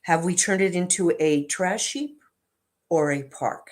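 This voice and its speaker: A woman leading affirmations and reading an inspirational text aloud in measured, deliberate phrases. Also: lowish voice.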